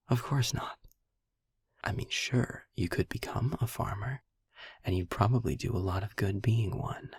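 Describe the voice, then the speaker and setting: Guy doing a sarcastic philosophical demon, gravelly n breathy, super close dry sound, intimate roleplay rant. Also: sits low, no room sound, heavily acted. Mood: patient, persuasive. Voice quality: husky, breathy, half-whispered. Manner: sardonic, deliberate, ranting with pauses and sighs. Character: sarcastic, philosophical male demon, frustrated but principled. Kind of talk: intimate scripted audio roleplay monologue.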